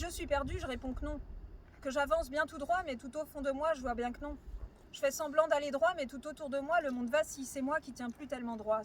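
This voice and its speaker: A slam poet reciting spoken word with a rhythmic, intense delivery that swells and drops. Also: sits high. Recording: outdoor recording with clear ambient noise.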